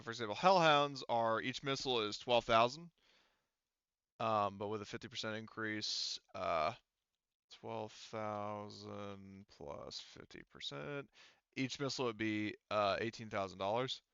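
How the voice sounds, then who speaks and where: A man acting as game master in a casual tabletop roleplaying session, halting and thinking aloud as he checks the rules. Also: fairly low pitch, gravelly, sharp tone, clean tone, no echo, muffled recording.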